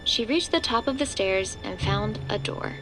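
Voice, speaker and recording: A woman's voice: mid-range voice, lively intonation, normal speed, natural voice, poor audio. A woman reading scripted narration for a horror audio drama. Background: background music.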